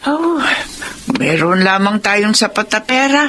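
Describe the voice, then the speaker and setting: A woman's voice: medium pitch, sing-song, measured pace. Woman acting a folksy Filipino grandmother, noisy recording. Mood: apologetic. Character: caring, apologetic elderly Filipino grandmother, wife and homemaker. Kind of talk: dramatized radio-style life story acting. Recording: clear background noise.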